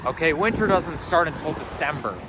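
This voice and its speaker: A man with a loud voice chatting as he walks through town, rambling and thinking aloud with small restarts, filming a casual walking vlog outdoors. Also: on the high side, expressive, brisk. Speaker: vlogger. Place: snowy city street outdoors. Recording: street noise and wind on mic. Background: traffic and wind.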